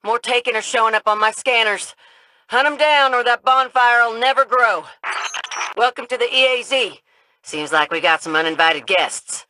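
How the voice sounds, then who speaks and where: A woman's voice: fairly high pitch, moderate pace, noisy background, close to an everyday voice. An actress playing a folksy, upbeat woman who guides fighters in a video game. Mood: urgent, cocky. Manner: folksy, informal drawl. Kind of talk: video game mission voice lines.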